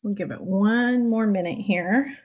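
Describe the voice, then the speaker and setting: Raspy-voiced Texan woman in middle age, slow easygoing livestream host, lapel mic at home. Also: mid-range voice. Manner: relaxed, clears throat often, chuckles softly. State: raspy voice from little sleep. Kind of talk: casual chat greeting viewers. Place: home study with bookshelves. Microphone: clip-on lapel mic, webcam.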